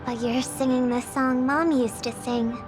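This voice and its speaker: An actress voicing a wistful little girl asking her older brother soft, pleading questions in a game cutscene over music. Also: high-pitched, fairly even intonation, unhurried. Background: score music.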